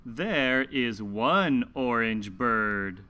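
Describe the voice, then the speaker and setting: A man hosting a children's read-along, reading aloud and teaching in deliberate, simple phrases for young learners. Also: mid-range voice, expressive, bright, forceful tone. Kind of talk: slow, scripted children's read-aloud lesson.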